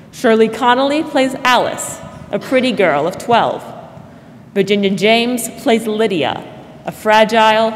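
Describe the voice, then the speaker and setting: A young woman narrating a scripted radio drama in 1940s style as announcer on a stage. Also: medium pitch. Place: large theatre stage, indoors. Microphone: stand microphone. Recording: reverberant stage hall, faint noise.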